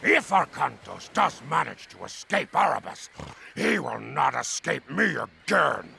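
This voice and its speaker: An actor playing a gloating villain in a game cutscene, sneering and plotting. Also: male voice, medium pitch, sing-song, a little slow, gravelly, bright, forceful tone, slight background noise, exaggerated voice. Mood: scheming.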